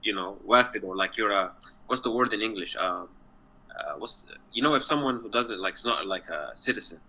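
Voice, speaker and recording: A man's voice: fairly low pitch, expressive, brisk, pushed and bright, low-quality recording. A man from Egypt in a casual online chat, earnest and rambling, sometimes searching for words. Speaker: chat partner.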